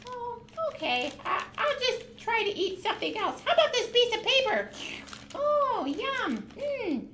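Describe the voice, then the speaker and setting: A woman voicing an eager, hungry young goat puppet in a childlike, excitable way for children. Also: very high-pitched, unhurried, roomy sound, a little hiss or hum, voice pushed up, heavily acted. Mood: sheepish, hungry.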